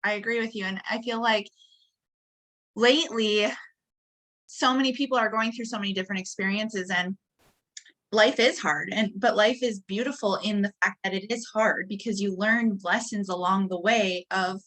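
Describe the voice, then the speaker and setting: A woman's voice: mid-range voice, fairly even intonation, normal speed, fairly bright tone. A woman in her late twenties from Wisconsin, a guest sharing personal stories earnestly, often laughing and restarting sentences. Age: 20s. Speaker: guest entrepreneur. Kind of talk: personal storytelling in interview.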